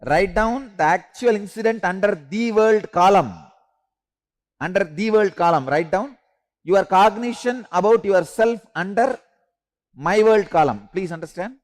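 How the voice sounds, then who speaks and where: Spiritual teacher, male, high-pitched, slow repeated instructions, emphatic rises. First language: Tamil.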